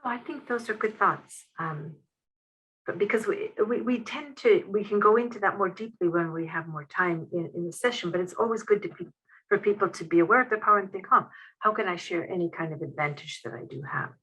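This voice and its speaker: A woman's voice: medium pitch, fairly quick, fairly bright tone, husky. An older woman co-leading an online workshop, talking casually from a room on a video call. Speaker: workshop co-leader. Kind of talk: casual spoken discussion. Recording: dull video-call audio.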